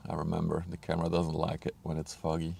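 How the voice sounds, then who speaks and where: A man streaming live outdoors, chatting casually and muttering to himself while he sets up his gear, his voice a bit hoarse from a cold. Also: very deep voice, completely flat delivery, fast, a little mellow, a little hiss or hum. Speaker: ship-spotting livestreamer. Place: outdoors on a riverbank.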